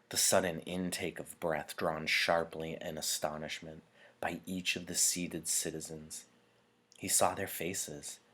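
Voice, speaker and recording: Low, husky male storyteller. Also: fairly bright tone. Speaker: teacher reading aloud. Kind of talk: expressive audiobook-style novel narration.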